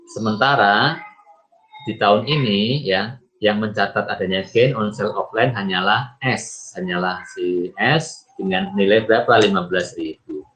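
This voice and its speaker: A man's voice: on the low side, fairly bright tone. An Indonesian man giving a step-by-step recorded lecture in a didactic way, often asking questions and answering them himself. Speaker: accounting lecturer. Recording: muffled, lo-fi microphone sound.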